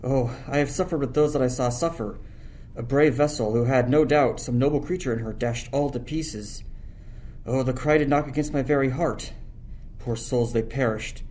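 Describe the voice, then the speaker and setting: A man's voice: mid-range voice, normal speed, a little mellow, close to an everyday voice. Mirando, a man as an earnest tender-hearted son. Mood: distressed, pleading. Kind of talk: Shakespeare radio play acting.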